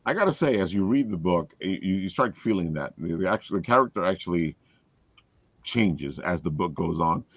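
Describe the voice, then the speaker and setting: A man's voice: low voice, expressive, normal speed, no room sound, lo-fi sound. A man chatting casually and informally in a conversation. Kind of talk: casual chat.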